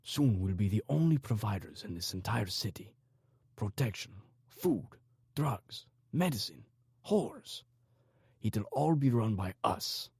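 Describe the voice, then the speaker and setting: Man voicing a husky, confident cartel boss. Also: lowish voice, lively intonation, slow, darkish tone, no echo, clean studio sound, voice pushed up, heavily acted. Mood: smug. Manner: grandiose, self-assured. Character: confident scheming male Mexican cartel commander. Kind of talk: audiobook character dialogue.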